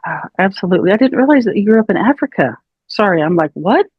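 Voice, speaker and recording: A woman hosting a podcast who speaks in an earnest, reassuring and measured way. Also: medium pitch, very animated, breathy edge, no room sound. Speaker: podcast host. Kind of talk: supportive interview talk. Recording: dry, dull lo-fi sound.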